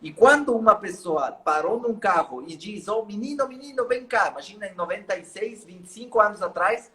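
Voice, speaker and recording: A man with a notably high voice speaks as a guest author, telling his own story. He talks earnestly and motivationally, restarting phrases now and then. Portuguese is not his first language; he grew up speaking Spanish. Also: animated, normal speed, pushed and bright. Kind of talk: storytelling in a live interview.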